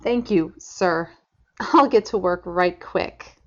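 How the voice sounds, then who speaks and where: A woman playing an eager junior starship engineer in an audio drama, brisk and deferential. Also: fairly low pitch, a bit bright, muffled recording. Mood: eager, dutiful.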